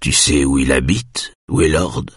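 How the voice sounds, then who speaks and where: A man voicing a calm, patient male police officer who keeps an even, gently coaxing tone. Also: rumbling low, measured pace, breathy edge, no room sound, clean studio sound, voice pushed down, heavily acted. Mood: probing.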